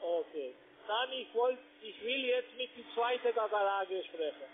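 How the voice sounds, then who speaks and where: A man with a foreign accent speaking non-native German in halting phrases, insistently working a sales call as a call-center trader. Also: high voice, animated, a little hiss or hum. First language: not German. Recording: tinny narrowband phone line.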